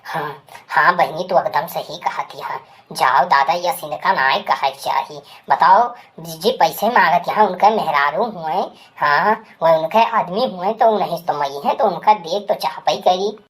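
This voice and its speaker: A woman's voice: low-pitched, unhurried, husky. A woman voicing a dramatic, scolding village woman with an Awadhi accent in a rural cartoon comedy. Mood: indignant, angry. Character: loud village women, scandalized gossips and an angry betrayed wife.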